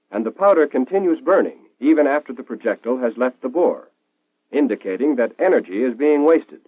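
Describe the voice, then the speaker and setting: A tinny, band-limited old film soundtrack carries an American man's narration. He explains things in a clear, instructional 1940s style, with lively rises and falls in his pitch. The sound is dry, with very little room around the voice. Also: dry recording.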